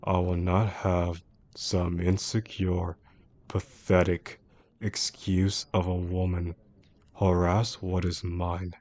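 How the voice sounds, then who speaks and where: A man playing a possessive, menacing boss in a romantic audio roleplay, speaking in a controlled, formal way with a gravelly voice. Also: sits low, little pitch movement, a little mellow. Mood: cold, threatening. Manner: controlled, deliberate, formal wording. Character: possessive, menacing male boss obsessed with an employee.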